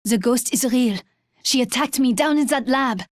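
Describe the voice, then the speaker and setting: A woman playing an eager, high-voiced young engineer. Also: moderate pace, no room sound, studio quality, lightly acted. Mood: earnest. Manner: earnest, eager.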